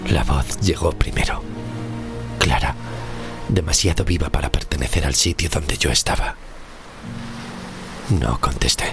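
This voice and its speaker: Very deep, gravelly, tormented shipwrecked sailor. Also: male voice. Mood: dazed, exhausted. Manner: grave, slow, literary storytelling. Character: weary, tormented 16th-century shipwrecked sailor, fatalistic. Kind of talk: audio drama horror narration. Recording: music under the voice.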